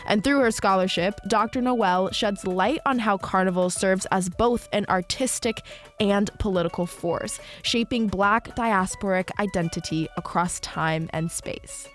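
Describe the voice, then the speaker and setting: Trinidadian woman presenter, mid pitch, formal scripted host, faint music bed. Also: a little slow. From Trinidad and Tobago. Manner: polished, formal presenter delivery. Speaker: interview host. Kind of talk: scripted introduction and interview questions.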